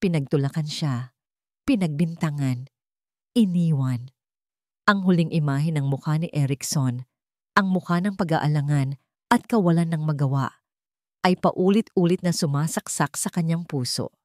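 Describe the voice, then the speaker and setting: A woman's voice: deep voice, moderate pace, long pauses, a little mellow, dry recording, studio quality. A woman reading scripted fiction as a narrator, in a solemn, emotive storytelling style.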